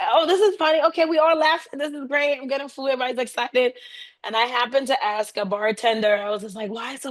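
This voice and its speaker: Female, higher-pitched voice, animated and giggly, casual conversational storyteller. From raised by a Haitian family. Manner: animated, giggly, laughs often while talking. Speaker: interview guest, bike traveler.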